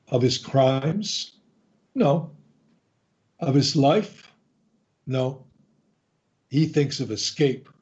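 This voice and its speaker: Mellow male radio host, dull sound. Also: medium pitch. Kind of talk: scripted show introduction.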